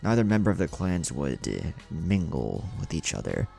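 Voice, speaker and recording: A man voicing a hot-headed, defiant captured ninja warrior in an anime story, sharp and taunting. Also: extremely low pitch, sing-song, moderate pace, vocal fry, faint music behind, exaggerated voice. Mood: harsh, defiant.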